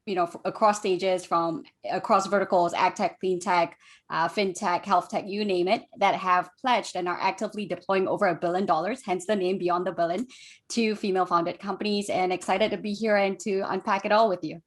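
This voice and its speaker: A woman's voice: lowish voice, fairly even intonation, brisk. A woman from Malaysia introducing herself fluently and upbeat as an investor on an online panel.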